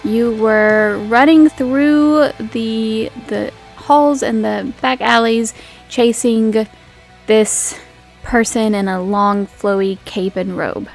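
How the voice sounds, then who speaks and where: Female game master, higher register, informal and halting storytelling for a tabletop roleplay, soft music underneath. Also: fairly even intonation, vocal fry. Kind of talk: casual tabletop game narration.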